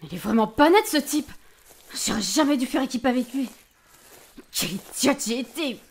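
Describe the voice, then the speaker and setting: A woman playing a talkative, expressive young potion student adventurer in an amateur fantasy audio drama, speaking informally. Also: high voice, normal speed, husky, heavily acted. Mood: mock outrage.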